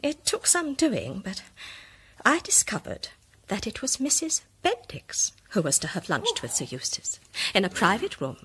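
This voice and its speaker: A woman playing a shrewd, self-assured amateur detective, setting out her case articulately and deliberately in radio drama style. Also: medium pitch, wildly swooping pitch, a bit bright, slightly rough, exaggerated voice. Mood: confident, deliberate. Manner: articulate, deliberate, theatrical reveal. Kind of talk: British radio drama acting.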